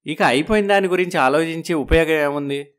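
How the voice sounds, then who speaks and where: A man's voice: a little high, a little fast, a bit bright, lightly acted. A man playing a pragmatic, matter-of-fact old grandfather in a family story. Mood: resigned. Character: pragmatic old grandfather pushing his favourite granddaughter's match.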